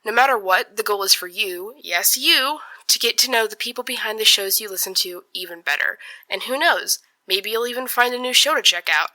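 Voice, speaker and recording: A woman's voice: medium pitch, a little fast, few pauses, sharp tone, low-quality recording. A young woman giving a chatty, scripted promotional read for a podcast.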